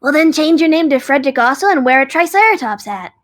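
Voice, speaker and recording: A woman's voice: high-pitched, expressive, quick pace. A woman playing a cheerful, unbothered, nerdy dragon in an audio drama, chatty and matter-of-fact. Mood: playful.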